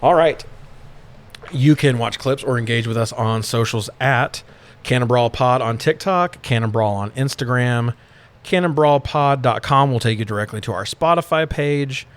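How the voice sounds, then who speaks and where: A man with a clean, resonant voice at an average male pitch. He co-hosts a podcast and talks in an easygoing, conversational way. His wording is informal, with the odd swear word. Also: normal speed. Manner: informal, occasionally profane. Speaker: podcast co-host. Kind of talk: casual podcast chat.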